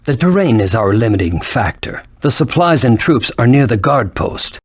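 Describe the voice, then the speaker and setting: A man's voice: mid-range voice, very animated, no room sound. Game commander, mature male; mid-range voice, grave, formal, studio-dry sound. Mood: grave, resolute. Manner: formal, measured. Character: grave, authoritative father and military commander. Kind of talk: video game voice acting.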